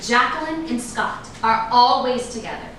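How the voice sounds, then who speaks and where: A woman's voice: fairly high pitch, animated, moderate pace, fairly bright tone. An actress playing a guarded, ambitious woman fending off an old flame in a staged reading of a drama, with dramatic, emphatic delivery. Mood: intent, storytelling. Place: echoey indoor room at a staged reading. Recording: echoey room, distant mic, noisy.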